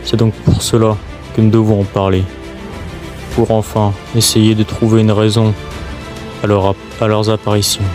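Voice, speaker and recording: A man reading a scripted documentary narration as presenter, in a halting way with stumbles. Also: fairly low pitch, level tone. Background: music.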